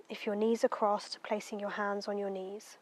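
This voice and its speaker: A woman guiding a meditation in a gentle, unhurried, soothing way, letting her phrases end softly. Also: medium pitch, flat delivery. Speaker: meditation guide. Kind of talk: guided meditation narration.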